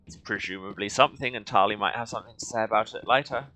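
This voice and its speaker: A man's voice: lowish voice, fast, sharp tone, muffled recording. A man giving casual, wry game commentary as a let's play streamer, with sarcastic asides. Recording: clear game noise behind the voice. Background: video game sound.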